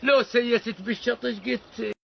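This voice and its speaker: A man voicing an exasperated, grumbling comic cartoon character with an Iraqi accent and a croaky voice. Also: very high-pitched, fairly even intonation, moderate pace. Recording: dull lo-fi sound, faint noise.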